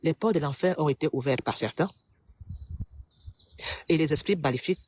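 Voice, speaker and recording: Husky voice, preachy political rant to the people, mid pitch. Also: moderate pace, darkish tone. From Cameroon. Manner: preachy, rhetorical, appealing to the public. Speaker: political commentator. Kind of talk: impassioned political monologue.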